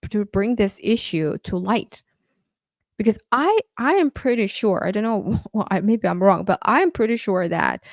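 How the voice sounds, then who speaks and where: Earnest Uzbek-native woman, halting monologue. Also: medium pitch, no echo. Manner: earnest, halting with frequent restarts. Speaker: podcast host. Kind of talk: casual monologue.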